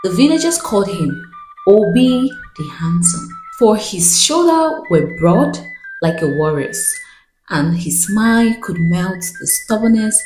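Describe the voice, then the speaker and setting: A woman narrating a folktale in a dramatic scripted storytelling style, with measured delivery and pauses. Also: medium pitch, expressive, darkish tone, roomy sound, soft background music, poor audio.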